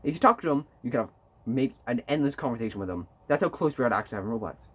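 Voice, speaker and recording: A man's voice: medium pitch, expressive, very fast, a bit bright, husky. A young man giving a persuasive student speech in a stumbling way, often restarting words mid-phrase. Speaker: student speaker. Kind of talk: rehearsed persuasive speech for a class.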